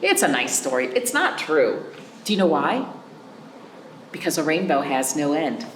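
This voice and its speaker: A woman hosting a children's storytime as a librarian, reading aloud with chatty asides and simple, animated explanations with little check-in questions. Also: deep voice, normal speed, reverberant, background noise. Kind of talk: picture-book read-aloud with chatty asides for young children.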